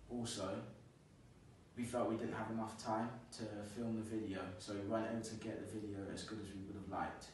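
A young man presents a class project in a steady, rehearsed way. His voice sits a little low. It is picked up by a distant camera in a bare, strongly echoing classroom with faint noise. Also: brisk. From London. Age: young adult. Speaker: student presenter. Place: classroom with a large screen. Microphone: far camera. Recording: echoey room, camera far off.

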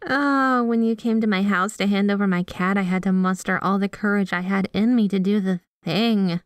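A woman's voice: medium pitch, moderate pace, no echo, clean studio sound, lightly acted. A woman playing a sweet, obsessively devoted yandere girlfriend in a scripted ASMR roleplay, gushing and bashful as she speaks to the listener. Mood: embarrassed. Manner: gushing, giggly, teasing, bashful asides.

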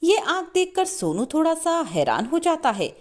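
A woman's voice: very high-pitched, very animated, normal speed. A woman storyteller narrating a scripted moral tale with dramatic storybook delivery.

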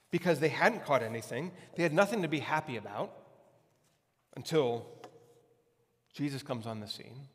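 A man in his forties preaching a conversational sermon as a pastor, with emphatic repetition and deliberate pauses. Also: on the high side. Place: large hard-walled church hall, on stage. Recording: long hall reverb.

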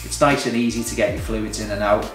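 A man's voice: lowish voice, steady tone, quick pace. A man speaks to camera in an informal, advisory way, like someone instructing beginners. He is recorded indoors at some distance from the microphone, so the room echoes around his voice. Faint music sits underneath. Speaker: hiking vlogger giving beginner tips. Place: indoors, small home room at a table. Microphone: camera a few metres away.

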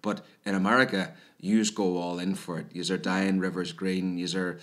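A man's voice: low-pitched, moderate pace. A man with a Belfast accent, a guest joking and telling stories in casual podcast chat. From Northern Ireland. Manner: animated, joking storyteller.

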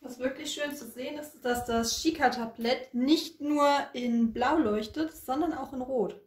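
A woman's voice: high voice, unhurried. A woman co-hosting an unboxing in casual review chat, recorded in a roomy, echoing space.

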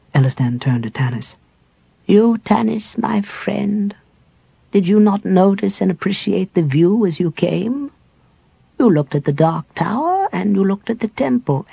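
A man voicing a dying old male cleric who speaks gently and slowly, with soft whispery breaks. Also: high voice, gravelly, breathy edge, no room sound, heavily acted. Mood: gentle. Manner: slow, lilting and tender, with soft whispery breaks.

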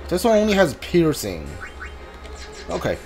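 A man gives casual commentary while gaming. He goes slowly in short, muttered phrases, yet his pitch moves around a lot. Game music plays clearly behind him. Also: wildly swooping pitch. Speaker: video game streamer.

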